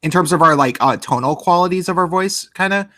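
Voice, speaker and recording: A man's voice: sits high, fairly quick, pushed and bright, dry recording. A man in his late thirties with a nasal voice hosts a loose livestream talk. He rambles and keeps restarting his sentences. The sound is dry and close. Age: late 30s. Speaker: podcast host. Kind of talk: casual livestream chat.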